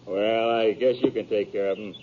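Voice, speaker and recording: A man's voice: fairly low pitch, fairly even intonation, moderate pace, lightly acted. A man acting a plain-spoken, suspicious posse guard with rustic American speech in a 1950s radio western. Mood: obliging. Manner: rustic, folksy, challenging. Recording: tinny, narrow, hissy old radio recording.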